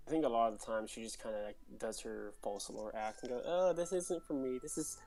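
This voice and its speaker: A woman's voice: very deep voice, brisk, warm tone. A woman commenting casually on a game as she streams it. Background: game music.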